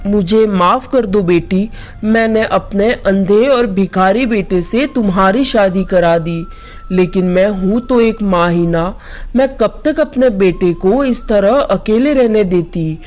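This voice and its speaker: Slow, pleading village mother played by a woman. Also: mid-range voice, a little mellow, soft background music, close to an everyday voice. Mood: apologetic, pleading. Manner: emotional, earnest pleading. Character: anxious, pleading village mother of grown children. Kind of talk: dramatized Hindi moral story.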